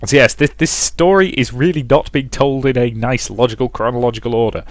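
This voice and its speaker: A man's voice: mid-range voice, a little fast, no room sound. A man narrating a visual novel playthrough, reading the story aloud and adding casual commentary, with a rambling way of talking. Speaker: let's player and narrator.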